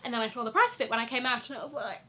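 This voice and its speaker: Bright, high-voiced woman, stuffy from a cold. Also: racing. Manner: gushing, animated, chatty. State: congested from a cold. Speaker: luxury fashion vlogger. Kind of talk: chatty sponsored wishlist vlog.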